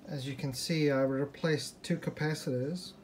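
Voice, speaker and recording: A man's voice: on the high side, fairly even intonation, moderate pace, darkish tone. A man giving an informal, unscripted repair walkthrough, thinking aloud as he explains in a hesitant way. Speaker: hobbyist restorer presenting a repair. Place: indoors at a desk in a room. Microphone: handheld phone or camera, behind the lens. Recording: handheld phone video with background noise.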